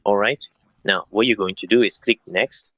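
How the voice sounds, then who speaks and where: A man in his thirties narrating a step-by-step software tutorial in an instructional way, speaking directly to viewers. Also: lowish voice, normal speed, no room sound, muffled recording. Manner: instructional, addresses viewers as guys.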